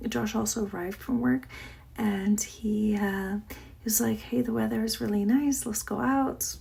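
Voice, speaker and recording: Soft-spoken woman with vocal fry. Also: on the high side. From Iran. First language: Persian. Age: 40s. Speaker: vlogger. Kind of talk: casual diary chat to camera.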